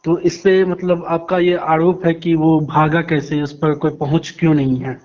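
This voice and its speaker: A man's voice: fairly high pitch, little pitch movement, measured pace, darkish tone. A man with a Bihari accent asking questions as a news interviewer over the phone. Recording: dull lo-fi call recording.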